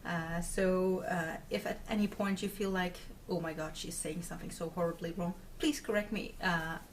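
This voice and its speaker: A woman's voice: on the low side, steady tone, moderate pace, distant mic, a little hiss or hum. A Dutch woman in her twenties, English not her first language, chatting casually to camera as host of her knitting podcast, with lots of fillers and little laughs. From Netherlands. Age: 20s. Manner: chatty, many filler words and giggles. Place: small room at home, indoors.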